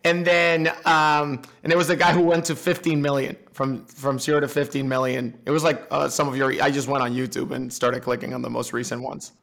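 A man from the Dominican Republic, a software founder guesting on a podcast, chatting casually with lots of laughter and repeated words. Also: mid-range voice, little pitch movement, brisk, creaky, few pauses.

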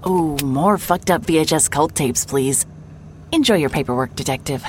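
A woman playing a hard-hearted, sarcastic private investigator with a deadpan delivery in a mystery audio drama. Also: sits low, normal speed, background noise, lightly acted. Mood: sarcastic, exasperated. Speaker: lead actor in an audio drama. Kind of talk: cinematic audio drama acting, noir narration.

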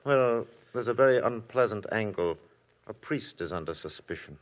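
Courteous friend of the family, fairly low voice, played by a man, in a 1950s radio play. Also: animated, moderate pace, close to an everyday voice. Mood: polite. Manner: polite, apologetic. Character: polite, apologetic male family friend. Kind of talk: 1950s radio drama acting.

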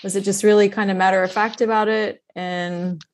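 A woman's voice: mid-range voice, fairly even intonation, fairly quick. A woman hosting a podcast interview, introducing her guest and asking questions in an earnest, conversational way. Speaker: podcast host.

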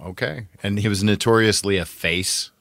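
Guy on a podcast, bright forceful voice but lowish, casual chat. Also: moderate pace, creaky, dry recording, clean studio sound. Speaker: podcast co-host. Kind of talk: casual pop-culture chat.

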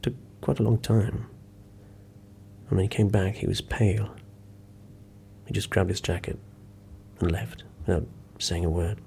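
An actor playing a sombre man recounting an anecdote in an audio drama, hushed and unhurried, with dramatic pauses. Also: low-pitched, fairly even intonation, creaky, a little hiss or hum. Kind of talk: audio drama acting, confiding storytelling.

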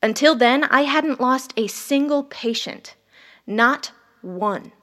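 A woman's voice: sits high, slow pace, a bit bright. A woman playing an ambitious, detached ER doctor in an audio drama, confessional and intense. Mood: detached, proud. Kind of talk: first-person dramatic narration and dialogue.

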